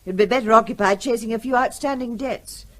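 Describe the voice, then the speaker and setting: An actress playing a brisk, pushy middle-aged wife in a radio drama. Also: female voice, fairly high pitch, natural voice. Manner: brisk, nagging.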